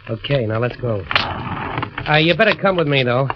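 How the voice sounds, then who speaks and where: Man acting smooth, coaxing gangster, muffled old recording. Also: medium pitch, lively intonation, a little slow, heavy background noise, lightly acted. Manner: casual, coaxing, tough slang. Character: smooth, worldly gangster posing as a friendly prankster. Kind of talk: 1940s-50s radio drama acting. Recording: dull vintage radio recording.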